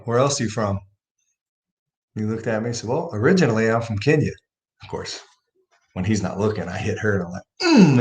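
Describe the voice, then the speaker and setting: A man hosting a livestream, talking casually about faith and telling a personal story with rising emphasis. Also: fairly low pitch. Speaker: livestream host.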